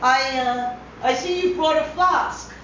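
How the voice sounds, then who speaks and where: A woman voicing a proud, chatty gentleman in his eighties in a dramatic story reading, with hearty, clipped remarks and musing pauses. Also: high-pitched, pitched above the performer's own voice. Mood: proud, genial. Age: 80s. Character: proud, chatty 82-year-old widower, gentlemanly. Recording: roomy echo, distant mic, dull hissy sound.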